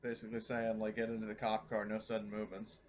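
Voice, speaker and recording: A man with a slightly low voice chats as a player in a tabletop game. His talk is casual. A little noise sits behind the recording. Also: monotone, fast.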